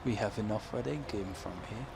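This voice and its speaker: A man's voice: fairly low pitch, fairly quick, gravelly. A young man with a Dutch accent giving rambling, self-correcting commentary over farming game footage, chuckling now and then. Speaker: gaming YouTuber. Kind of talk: casual gameplay commentary. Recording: game engine noise behind voice. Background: farm machinery and ambient game sounds.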